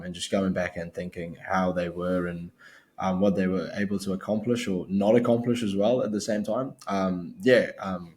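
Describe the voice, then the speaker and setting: A young man with a New Zealand accent, co-hosting a casual chat in a halting, filler-heavy way. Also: sits low, a little mellow. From born in Sri Lanka, grew up in New Zealand. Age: young adult. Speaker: podcast co-host.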